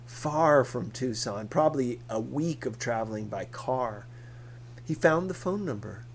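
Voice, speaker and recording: A man reading a novel aloud as scripted narration, in a measured, deliberate manner. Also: medium pitch, vocal fry, darkish tone, slight background noise. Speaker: audiobook reader.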